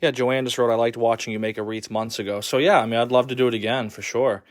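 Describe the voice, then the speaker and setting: Quick-talking easygoing man behind the camera. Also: medium pitch, steady tone. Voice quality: soft, soothing. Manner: easygoing, hesitant, many fillers. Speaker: videographer, co-host. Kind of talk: casual chat.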